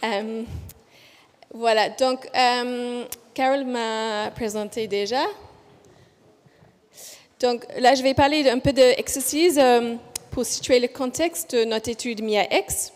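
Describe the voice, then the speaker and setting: An Australian woman with a high, light voice gives a conference presentation on a study. She talks slowly and carefully, pausing often and correcting herself. Her voice rings out with the long echo of a big hall. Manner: speaks slowly and deliberately, with frequent hesitations and self-corrections. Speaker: physiotherapist researcher presenting a study.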